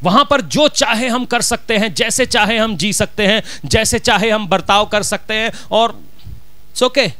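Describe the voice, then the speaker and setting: A man's voice: high voice, a little slow, sharp tone, no room sound. A middle-aged man with a Punjabi accent, a pastor preaching a sermon from a church stage with a handheld mic, driving his points home with rhetorical questions. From Punjab, India.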